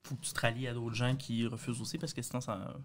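A man's voice: mid-range voice, flat delivery, fast, slight background noise. Young Quebec man in casual discussion. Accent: Quebec French. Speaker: panelist. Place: small radio studio. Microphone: studio boom microphone.

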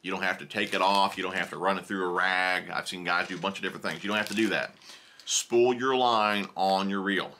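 A deep, bright-toned man in his thirties rambles casually through an unboxing, thinking aloud as he goes. He's recorded by a desk camera in a small indoor tackle room, with a faint hiss behind him. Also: fairly even intonation, a little fast. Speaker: fishing tackle vlogger. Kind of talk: casual unboxing haul video.

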